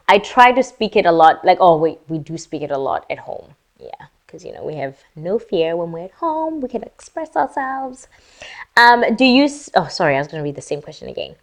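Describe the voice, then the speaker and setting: Chatty woman from Lesotho, slightly low voice. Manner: chatty and playful, girly slang asides. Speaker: vlogger. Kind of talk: casual Q&A chat to camera.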